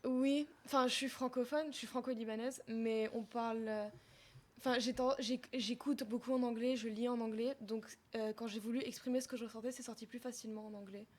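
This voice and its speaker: A young woman from Lebanon, a singer-songwriter, answering interview questions softly and searching for her words. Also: a little high, steady tone, fairly quick. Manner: soft-spoken, searching for words, trailing off.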